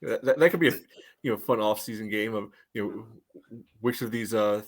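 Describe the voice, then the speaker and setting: A man co-hosting a casual sports podcast, talking in a halting way peppered with verbal tics. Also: fairly low pitch. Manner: halting, peppered with "you know". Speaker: podcast co-host. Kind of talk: casual sports commentary chat.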